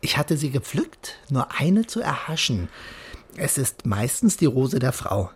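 An actor playing a friendly, easygoing male care worker in a naturalistic radio play, with a husky voice. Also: mid-range voice, very animated, a little slow, heavily acted. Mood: amused, reading slowly.

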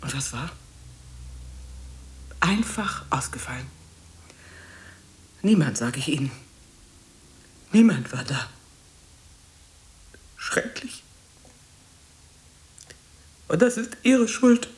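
An actress playing a bitter old woman in a radio drama, scolding and reproachful, halting and repeating herself. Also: low-pitched, big pitch swings, darkish tone, full character voice. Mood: bitter, dismissive. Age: older.

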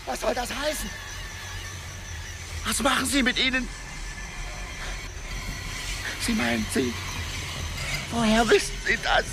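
An agitated, desperate man, high-pitched. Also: fairly even intonation, normal speed, gravelly. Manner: urgent, agitated. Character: desperate, headstrong man in a jungle disaster thriller. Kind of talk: German radio drama acting. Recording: very noisy outdoor action scene, vehicle sounds.

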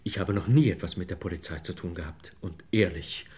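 An older clerk in deep trouble, in a vintage radio crime play, acted by a man. His low voice is halting and apologetic, pleading desperately as he explains himself. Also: a little mellow, natural voice. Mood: meek, resigned. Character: desperate, pleading 62-year-old male clerk confessing embezzlement. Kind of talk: mid-century German radio crime drama acting.

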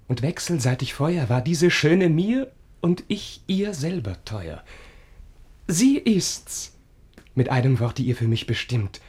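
Young lover, husky, unhurried, melodic swings, formal, ardent, radio verse comedy. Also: male voice, mid-range voice, darkish tone, exaggerated voice. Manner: formal, declamatory. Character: ardent young male lover, dutiful son. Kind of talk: German verse radio drama acting.

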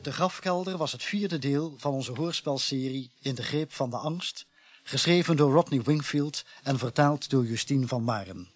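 A man's voice: mid-range voice, moderate pace, dry recording. A Dutch man formally reading scripted credits as a radio announcer.

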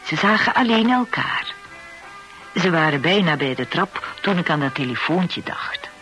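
A woman's voice: lowish voice, lively intonation, normal speed, fairly bright tone. An actress playing a fond, gossipy landlady who confides her story to listeners in a radio drama. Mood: fond, confiding. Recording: old radio play with music.

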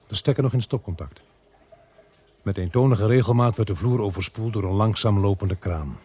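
An actor playing a sober detective who narrates a radio play in a measured, deadpan way. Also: male voice, fairly low pitch, husky. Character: sober male detective narrating his case.

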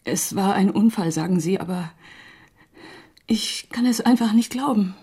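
An actress playing a grieving, doubting woman in a radio drama, speaking haltingly with a breathy, husky voice. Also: medium pitch. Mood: anxious, grieving. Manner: hesitant, halting, hushed. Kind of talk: naturalistic radio drama acting.

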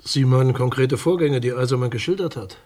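An actor playing a state prosecutor in a radio crime drama, speaking in a measured, bureaucratic and somewhat condescending way. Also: male voice, medium pitch, a little mellow, close to an everyday voice. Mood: measured, matter-of-fact. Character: dismissive, formal male state prosecutor defending his findings.

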